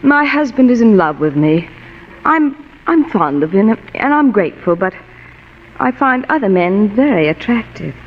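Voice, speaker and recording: Cool, manipulative femme fatale, vintage radio drama. Languid, coaxing delivery; muffled, hissy, echoing recording. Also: female voice, medium pitch, sing-song, normal speed. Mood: confiding. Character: seductive, scheming, cool married blonde femme fatale. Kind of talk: 1940s radio noir acting. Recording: muffled, reverberant, noisy old radio broadcast.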